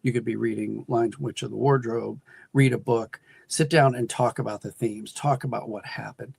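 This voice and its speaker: An American man in middle age with a creaky, husky voice, answering interview questions. He explains things thoughtfully and haltingly, often restarting a phrase. The sound is close and dry. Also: mid-range voice, steady tone, a little mellow, dry recording. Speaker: guest, audio drama writer and producer.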